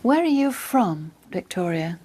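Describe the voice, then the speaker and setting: A woman working as an oral examiner, asking scripted questions in a formal, measured way. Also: fairly low pitch, expressive, warm tone, a little hiss or hum.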